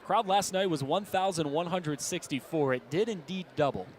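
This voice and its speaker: American guy doing baseball play by play, slightly high voice, clipped calls, bit of stadium noise behind. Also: normal speed. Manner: clipped present-tense narration, swelling into shouts on big plays. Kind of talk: live sports commentary. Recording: ballpark ambience behind the voice. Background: outdoor stadium background noise.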